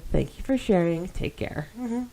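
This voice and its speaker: A woman hosting a podcast, chatting casually and reading listener comments aloud in an animated way. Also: fairly low pitch, normal speed, gravelly. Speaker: podcast host.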